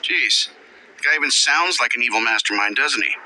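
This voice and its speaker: An actor playing a wisecracking armored superhero in a video game, flippant and sarcastic. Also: male voice, mid-range voice, animated, brisk, recorded from a distance, a little hiss or hum, poor audio. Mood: worried sarcasm. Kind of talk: dramatic video game acting. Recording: loud music behind voice.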